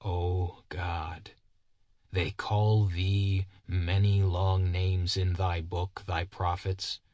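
A man's voice: low-pitched, little pitch movement, drawn-out words, darkish tone, no echo. A man voicing a haggard, simple-minded man who earnestly preaches doom and prays in biblical cadence. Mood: earnest prayer.

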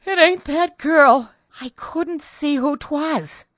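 A woman's voice: medium pitch, lively intonation, extremely slow, a little mellow, breathy edge, no room sound, clean studio sound, voice pushed up, heavily acted. A woman narrator voicing a terrified middle-aged country maid in a storybook, faint, gasping and hesitant. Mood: horrified, faint.

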